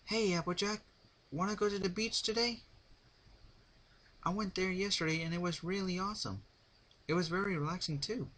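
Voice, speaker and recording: A man's voice: sits high, fairly even intonation, moderate pace, long pauses, sharp tone, voice pushed up. A man voicing a brash, casual young female cartoon pony in a story reading, with slangy, exclaiming delivery. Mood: upbeat, teasing.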